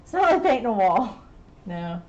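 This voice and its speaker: A woman's voice: mid-range voice, big pitch swings, normal speed, dull audio. A middle-aged woman teaching a painting class, chatting and laughing as she works in an indoor studio. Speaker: painting instructor. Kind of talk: casual chat while painting live.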